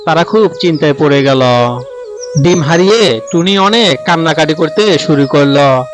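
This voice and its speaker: A man's voice: on the high side, moderate pace, music in the background. A man narrating a scripted children's story as the storyteller.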